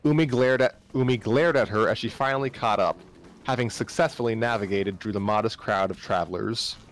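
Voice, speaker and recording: A man's voice: lowish voice, fairly quick, low-quality recording. A man narrating a story live on a stream, often stumbling and repeating phrases as he reads aloud. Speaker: narrator, livestream reader. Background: background music.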